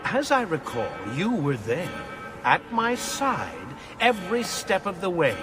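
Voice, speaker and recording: Smug older villain boss, fake polite, voice on the high side and swooping, music behind. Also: male voice, wildly swooping pitch, measured pace, heavily acted. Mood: scornful. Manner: condescending, mock-courteous, theatrical. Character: older male corporate villain, smug, condescending, menacingly polite. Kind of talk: dramatic game cutscene acting.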